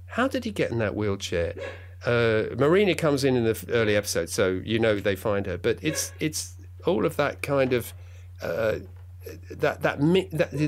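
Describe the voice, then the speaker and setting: Middle-aged man, guest in casual chat, enthusiastic, jokey, rambling, lots of restarts, medium pitch. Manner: playful, jokey. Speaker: guest, radio drama writer and director. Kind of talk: casual conversation.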